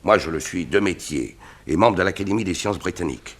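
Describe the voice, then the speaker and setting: An actor playing a shrewd, self-serving scientist and secret doper in a 1960s French radio drama, articulate, emphatic and self-possessed. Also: male voice, low-pitched, animated, a little fast. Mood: explaining. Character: shrewd, self-serving male scientist who secretly makes horse dope.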